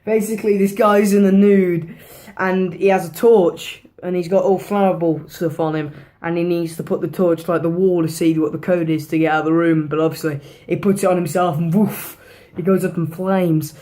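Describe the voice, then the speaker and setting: A woman with a hoarse, worn-out voice, giving a casual movie review in a rambling way, swearing freely and repeating herself. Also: fairly low pitch, steady tone, moderate pace, warm tone. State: hoarse, losing her voice.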